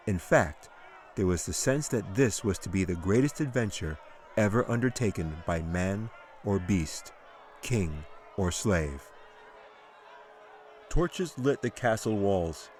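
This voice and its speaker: A man narrating a fantasy story as an author reading his own audiobook, with dramatic, measured storytelling. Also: low voice, a little mellow.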